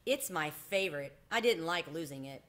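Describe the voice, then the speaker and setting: A woman voicing an eager, grateful young boy in a storybook, earnest and excited. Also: deep voice, normal speed.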